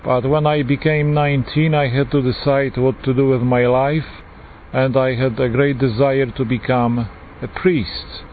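A man in his forties with a Polish accent, a priest giving an earnest, unhurried personal testimony with frequent pauses. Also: medium pitch, level tone. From Poland. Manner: earnest, unhurried, pausing often. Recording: tinny phone-like line with faint hiss.

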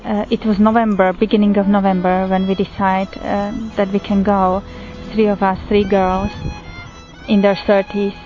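A woman from Slovakia with a Slovak accent, telling her pilgrimage story in an unhurried way with frequent pauses and fillers. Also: medium pitch, little pitch movement, music in the background. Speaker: pilgrim sharing testimony. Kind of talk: personal testimony. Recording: tinny phone-like recording with faint noise.

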